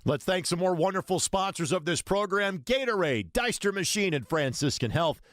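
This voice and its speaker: A man's voice: high-pitched, expressive, moderate pace, a bit bright, no room sound, clean studio sound. A man hosting a sports radio show, interviewing a guest in a conversational way.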